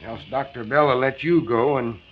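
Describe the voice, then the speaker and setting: A man's voice: mid-range voice, moderate pace, noisy background, natural voice. An actor playing an ailing, middle-aged former salesman, folksy, wry and resigned, in 1940s radio drama style. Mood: bitter, resigned. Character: ailing, discouraged former salesman in his early fifties. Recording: dull, band-limited 1940s radio transcription.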